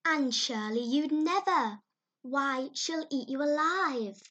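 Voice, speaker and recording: A woman's voice: medium pitch, a little slow, lo-fi sound. A woman reading aloud, voicing a spirited, loyal young girl who speaks emphatically. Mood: emphatic. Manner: excited, emphatic.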